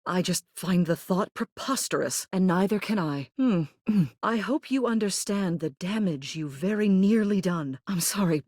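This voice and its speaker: A woman's voice: mid-range voice, lively intonation, moderate pace, a little mellow, dry recording, studio quality, lightly acted. A woman voicing a video game character, a stern, haughty ceiling who governs a household, speaking in a formal, clipped, authoritative way. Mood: dry, condescending. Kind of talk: scripted game character dialogue.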